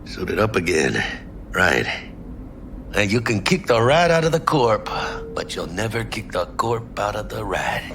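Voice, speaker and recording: Casting: jovial, swaggering male mercenary, lowish pitch, lively swings, noisy surroundings. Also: unhurried. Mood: cocky, jovial. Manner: swaggering, slangy. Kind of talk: video game voice acting.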